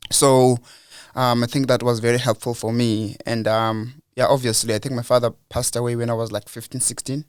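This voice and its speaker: A man's voice: medium pitch, little pitch movement, a little fast, clear, resonant tone, dry recording, studio quality. A young South African man as a podcast guest, talking earnestly and reflectively, repeating words as he goes. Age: young adult. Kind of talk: casual interview talk.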